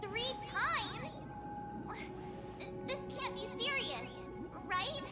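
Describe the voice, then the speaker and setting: Tiny plucky game sidekick, extremely high, bouncy expressive pitch, childlike. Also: female voice, very slow, loud music behind the voice, full character voice. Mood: puzzled. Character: small, spirited childlike companion, curious and plucky. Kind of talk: video game cartoon-like voice acting.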